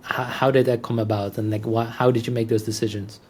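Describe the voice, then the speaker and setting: A man's voice: medium pitch, level tone, fast talker, a little mellow, a little hiss or hum. A man hosting a podcast interview in a casual conversational style, explaining points and checking for agreement.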